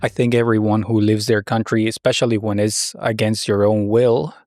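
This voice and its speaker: A man's voice: on the low side, little pitch movement, normal speed, darkish tone, dry recording, clean studio sound, faint music behind. A man hosting a podcast interview, asking questions and reflecting in a conversational way. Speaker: podcast host.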